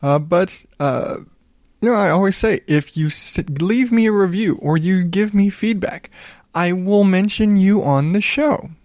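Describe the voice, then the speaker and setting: A man hosting a solo podcast in a smooth voice, chatting casually in a low-key, rambling, self-deprecating way with some swearing. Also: measured pace, a little mellow, dry recording, studio quality. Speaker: podcast host.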